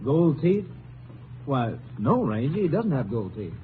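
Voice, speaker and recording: A man's voice: medium pitch, sing-song, unhurried. A man playing an obliging small-town doctor in an old-time radio western, folksy and matter-of-fact in clipped sentences. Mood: puzzled. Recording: muffled, faintly noisy vintage radio recording.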